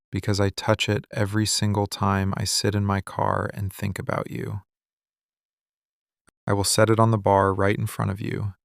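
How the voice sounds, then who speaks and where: A man playing a possessive, obsessive mafia boss in an intimate boyfriend ASMR roleplay, speaking slowly, deliberately and with commanding, menacing intensity. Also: on the low side, creaky, no echo, clean studio sound. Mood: tense, pleading. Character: possessive, obsessive male mafia boss confronting his love. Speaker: ASMR voice actor.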